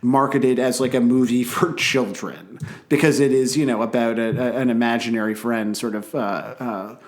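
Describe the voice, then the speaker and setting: A man co-hosting a podcast in casual chat, rambling and halting with lots of fillers. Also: mid-range voice, fairly even intonation. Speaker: podcast co-host.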